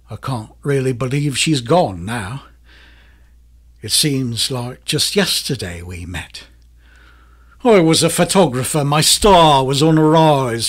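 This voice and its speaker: An actor playing a cocky, boastful British photographer in a radio drama, chatty and slangy, with a swaggering delivery. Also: male voice, medium pitch. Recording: music under the voice.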